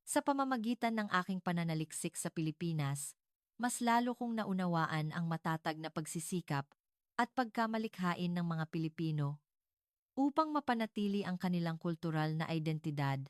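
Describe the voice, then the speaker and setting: A woman with a low voice narrates a scripted story in a formal, measured way. The recording is dry and close, with hardly any room sound around her dramatic narration. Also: lively intonation, clean tone, no echo.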